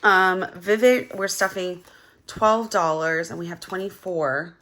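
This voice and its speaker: A young woman with a slightly low yet bright, forward voice talks casually while counting money. Her tone is a little gravelly and hoarse from just having woken up. She rambles along with plenty of fillers and counts out loud. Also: fairly even intonation, unhurried. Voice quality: gravelly early-morning voice. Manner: chatty, rambling, with many fillers and counting aloud. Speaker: budgeting vlogger.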